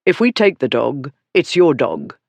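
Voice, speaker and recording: A man voicing a calm, haughty aristocratic duke with a cool, low, dryly disdainful voice in an audiobook. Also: gravelly, dry recording, dull audio, exaggerated voice. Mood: firm. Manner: dry, unhurried, disdainful.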